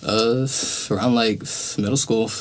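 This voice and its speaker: A man's voice: fairly low pitch, steady tone, measured pace, a crowd in the background, low-quality recording. Laid-back young man from California. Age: young adult. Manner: laid-back, loose, swears and laughs often. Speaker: rapper being interviewed. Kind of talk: casual interview.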